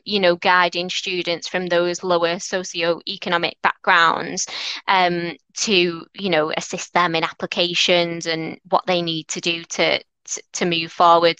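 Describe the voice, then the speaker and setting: Bright-voiced Mancunian woman. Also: lowish voice, clear, resonant tone, no echo, poor audio. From North Manchester, England. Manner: earnest, encouraging, many restarts. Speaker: lawyer, interview guest. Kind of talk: conversational interview answers.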